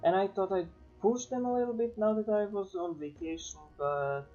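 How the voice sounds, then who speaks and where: A man from Serbia, a streamer chatting casually and thinking aloud with hesitant pauses. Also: sits high, warm tone, dull audio, soft background music.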